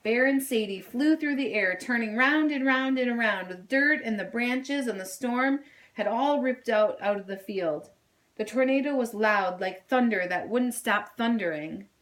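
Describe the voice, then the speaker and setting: A woman reading a children's story aloud in an expressive style. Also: fairly high pitch, a little slow. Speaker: storybook reader.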